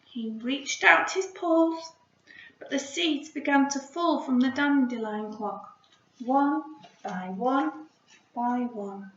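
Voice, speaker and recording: A woman primary school teacher talking to young children in an encouraging, expressive storytelling style. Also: high-pitched, slow pace. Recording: roomy, slightly dull home recording.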